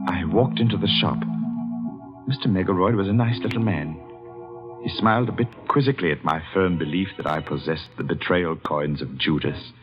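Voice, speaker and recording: Haunted young man, low and dramatic. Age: young adult. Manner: brooding, dramatic, jittery. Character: haunted, anxious young man narrating his own doom. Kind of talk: 1940s radio drama, first-person narration. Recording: muffled 1940s radio recording.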